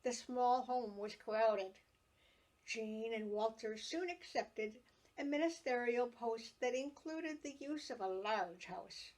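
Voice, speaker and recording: A woman telling a true story from her own childhood, in unhurried, expressive storytelling. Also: a little high, rough recording. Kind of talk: reading a family memoir aloud.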